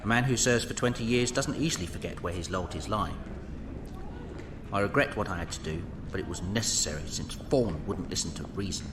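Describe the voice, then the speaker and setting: An actor playing a deferential, treacherous factory director in an audio drama, formal and obsequious. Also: male voice, low-pitched, fairly quick, natural voice. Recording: clear background ambience noise.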